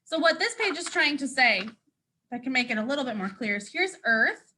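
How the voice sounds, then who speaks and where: A woman teacher leads a read-aloud for first graders. Her voice is high and bright with very lively intonation, and she explains things simply for small children. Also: moderate pace. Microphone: speaking to a hand-adjusted camera.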